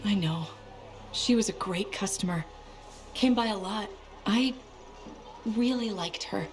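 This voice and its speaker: A woman's voice: mid-range voice, measured pace, faint music behind, lightly acted. An actress playing a careworn, practical woman farmer in a video game, guarded but kindly. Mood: doubtful, saddened. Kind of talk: video game cutscene acting.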